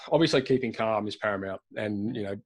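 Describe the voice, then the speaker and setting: Rambling podcast host. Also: male voice, fairly low pitch, fast talker. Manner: curious, rambling questions with many fillers. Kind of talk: casual panel discussion.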